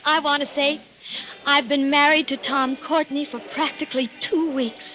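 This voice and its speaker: An actress playing a happy yet uneasy young American newlywed in a 1940s radio drama. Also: female voice, sits high, steady tone, moderate pace, close to an everyday voice. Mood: giddy, happy. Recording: muffled, noisy 1940s radio recording.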